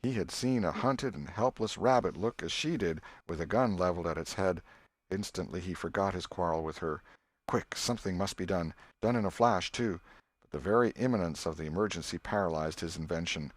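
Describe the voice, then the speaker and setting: A man reading an audiobook as an expressive scripted storyteller. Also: deep voice, brisk, dry recording. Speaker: audiobook narrator.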